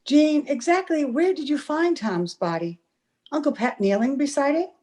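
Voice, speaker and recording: Woman acting an anxious high-voiced young sweetheart. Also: expressive, moderate pace, mellow. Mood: uneasy. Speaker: amateur radio play actor. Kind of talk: old-time radio drama acting. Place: home, over a video call.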